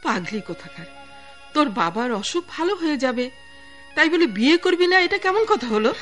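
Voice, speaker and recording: A woman's voice: high-pitched, very animated, normal speed, a bit bright, soft background music. An actress playing a fussing, affectionate middle-aged aunt in a radio drama, pleading and scolding in a motherly way. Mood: scolding, coaxing.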